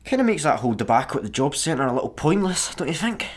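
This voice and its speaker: An actor with a Scottish accent playing a daft, easygoing janitor in a sci-fi comedy radio play, casual and obtusely deadpan. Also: male voice, on the high side, fast talker, husky. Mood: wry, casual. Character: daft, easygoing, literal-minded male slacker janitor.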